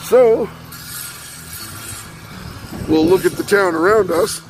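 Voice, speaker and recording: A man is losing his voice as he films a casual travel vlog on windy town streets, talking in a chatty, wry way. He speaks into a handheld camera while guitar music plays clearly underneath. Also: sits high, takes it slowly, low-quality recording. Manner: chatty, wry, self-narrating. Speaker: vlogger.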